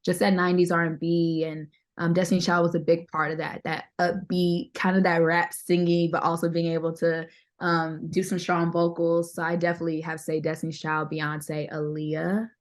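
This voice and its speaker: A woman speaks as a guest in a casual interview. Her voice is on the deeper side, and her delivery is candid and conversational, with repeated phrases for emphasis. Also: monotone, normal speed. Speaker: interview guest, singer-songwriter. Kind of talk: casual chat.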